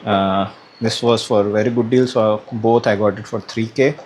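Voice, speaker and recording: A man's voice: lowish voice, fairly even intonation, normal speed. A man giving a casual, hesitant unboxing commentary, thinking aloud as he goes. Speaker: vlogger unboxing a product. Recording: clear background noise, room ambience.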